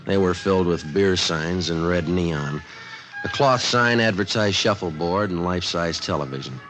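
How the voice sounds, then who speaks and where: A man's voice: low-pitched, moderate pace, a bit bright, poor audio. An actor playing a terse, matter-of-fact male police detective in an old radio crime drama, with clipped delivery. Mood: deadpan. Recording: old radio recording with music behind.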